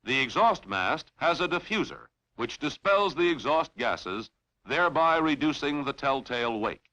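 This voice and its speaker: Guy doing training film narration, bright forceful voice, lively pitch, formal and measured. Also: low-quality recording. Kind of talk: scripted instructional narration.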